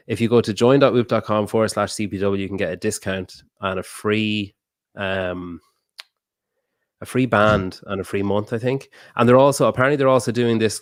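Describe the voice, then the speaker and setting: This is a man with a fairly low voice, chatting casually as a podcast host. He rambles and swears offhandedly. The sound is dry and close, with no room tone. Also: fairly even intonation, brisk, no echo.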